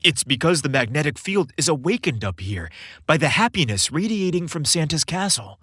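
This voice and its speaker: A man's voice: fairly high pitch, very animated, moderate pace, fairly bright tone, husky, dry recording, clean studio sound, full character voice. A man voicing a cheerful, playful young man with an enthusiastic, emphatic delivery in a romantic holiday story. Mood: excited, elated.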